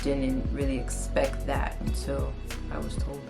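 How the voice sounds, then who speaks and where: A woman's voice: extremely low pitch, level tone, a little slow. A woman from Antigua and Montserrat co-hosting a casual conversation, earnest and repeating her point. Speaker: co-host. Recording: faint music underneath.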